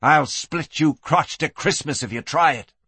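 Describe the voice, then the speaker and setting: A man's voice: on the high side, a little slow, bright, forceful tone, husky, no echo, heavily acted. A man voicing a wild, furious saloon girl in a western audiobook, hissing and snapping defiantly. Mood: menacing.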